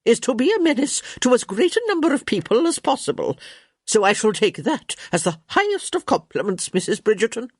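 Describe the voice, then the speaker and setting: A woman's voice: a little high, sing-song, fairly quick, breathy edge, no echo, clean studio sound, voice pushed up, exaggerated voice. A woman playing a crotchety, imperious old countess, swooping grandly. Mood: grandly amused. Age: elderly. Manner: grand, emphatic, sharp-tongued pronouncements.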